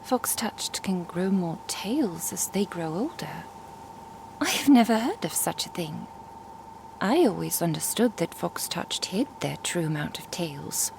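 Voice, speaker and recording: A woman playing a curious, composed traveling merchant and master in an ASMR roleplay, speaking in a measured, formal, inquisitive way. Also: lowish voice, expressive, creaky, background noise. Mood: intrigued, gentle. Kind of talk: ASMR roleplay audio drama.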